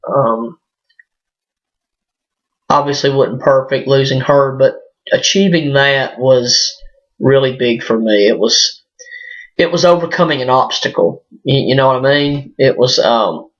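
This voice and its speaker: A hoarse man still recovering from a sinus illness, talking through a casual personal vlog with lots of fillers and restarts. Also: mid-range voice. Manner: halting, rambling, many fillers and restarts. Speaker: vlogger. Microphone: built-in device mic, no external microphone. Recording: dull lo-fi built-in mic.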